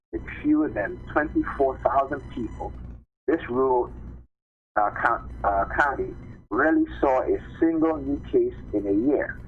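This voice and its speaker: Man on a tinny, noisy phone line. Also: medium pitch. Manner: halting reading with repeated phrases and checking tags. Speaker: live stream host reading articles. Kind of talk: reading news articles aloud with informal commentary. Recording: tinny phone-line sound with clear background noise.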